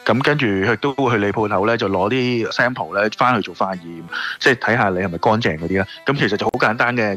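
A man's voice: fairly low pitch, fairly bright tone. A man with a Hong Kong Cantonese accent chatting casually and explaining things as a radio guest. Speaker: radio interview guest, shop owner. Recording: music playing behind the voice. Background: background music.

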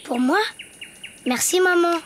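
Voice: very high-pitched, unhurried, fairly bright tone, a little hiss or hum. A performer voicing a cheerful, curious little donkey boy in a preschool cartoon, with simple, wondering childlike phrasing. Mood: eager.

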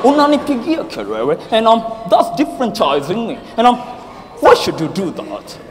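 A man playing a flustered, indignant head of the household in a comic stage play, halting pompously with constant ums. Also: very high-pitched, wildly swooping pitch, exaggerated voice. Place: large auditorium stage. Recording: echoey hall, noisy audience, from stage.

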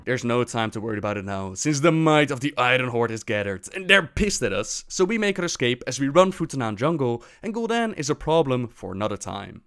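A man tells fantasy game lore in a mid-pitched voice. He explains it earnestly, in an informal storytelling way. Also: a bit bright. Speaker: lore narrator. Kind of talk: storytelling video narration.

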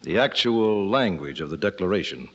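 An actor playing an earnest colonial statesman in an old-time radio drama, speaking formally and deliberately. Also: sits low, expressive. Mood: thoughtful. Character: Thomas Jefferson, thoughtful, earnest colonial statesman drafting aloud. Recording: muffled, noisy old radio recording.

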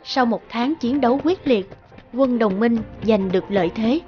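A woman reading scripted narration for an animated story. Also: fairly high pitch, moderate pace, highs cut off, faint music behind.

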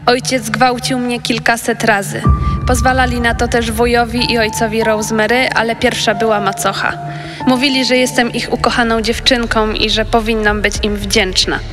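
A woman's voice: a little high, level tone, moderate pace, lightly acted. A woman playing a grave, wounded abuse survivor giving testimony in a dramatized true-crime podcast. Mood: grave, pained. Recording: long hall reverb. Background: music.

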